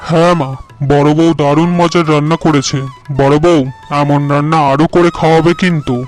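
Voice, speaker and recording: A man's voice: on the high side, level tone, normal speed, soft background music, close to an everyday voice. A man voicing a young farmer husband in a cartoon tale, who speaks in a stern, scolding way. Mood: pleased.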